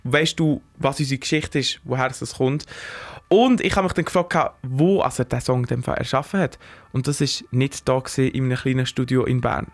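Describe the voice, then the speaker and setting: A man's voice: medium pitch, steady tone, fairly quick. A man with a Swiss German accent, presenting a radio music feature in a conversational, explaining way. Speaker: radio reporter.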